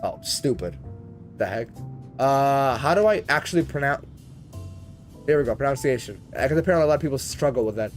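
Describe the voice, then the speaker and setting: A man's voice: mid-range voice, lively intonation, a little fast, long pauses, faint music behind. A man chatting casually as he live-streams a flight simulator, in a rambling, slangy, conversational way. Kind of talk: casual live-stream chat while gaming.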